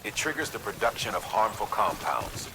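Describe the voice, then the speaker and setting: An actor playing a calm, gruff male commander in a video game, briefing a courier in a businesslike, conversational way. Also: lowish voice, normal speed, noisy background. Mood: measured, instructive. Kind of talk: mission briefing, game voice acting.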